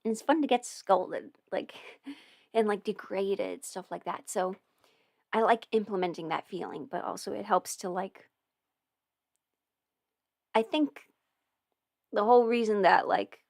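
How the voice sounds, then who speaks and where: A woman's voice: medium pitch, unhurried, long pauses, darkish tone, no room sound, clean studio sound. A young woman hosting a casual livestream Q&A as an audio performer, chatty and meandering, with plenty of fillers and restarts. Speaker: audio performer and channel host.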